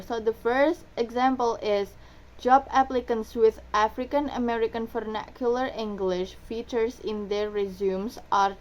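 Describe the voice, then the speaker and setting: Student presenter, young woman, higher voice, casual explainer, keeps asking "right?". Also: rough recording. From Indonesia. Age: young adult. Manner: informal, repeats "right?" and "gonna". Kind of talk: class presentation.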